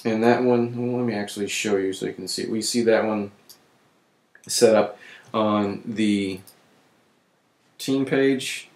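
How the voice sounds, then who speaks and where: A man with a voice that is giving out, who clears his throat often and keeps correcting himself while walking through software on screen. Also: lowish voice, fairly even intonation, slow pace, pauses often, reverberant. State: losing his voice. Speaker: web tutorial presenter. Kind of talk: screen-recorded software walkthrough.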